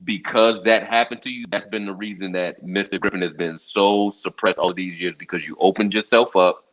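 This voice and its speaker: A man hosting a casual interview, asking probing, slangy questions in a measured way. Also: low voice, fairly even intonation, fairly bright tone. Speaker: interview host. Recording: muffled lo-fi audio.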